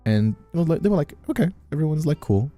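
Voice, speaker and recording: A man chatting casually on a livestream, emphatic and animated, often repeating himself as he makes a point. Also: on the high side, quick pace, faint music behind. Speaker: streamer. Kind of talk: casual conversational chat on a livestream.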